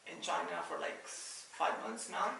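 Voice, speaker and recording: A young man with a Nepali accent gives casual, hesitant interview answers. His voice is fairly high with a creaky edge, heard from a little distance in a very echoey room. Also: normal speed. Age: young adult. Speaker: interviewee, master's student. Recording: very echoey room, distant mic, faint noise.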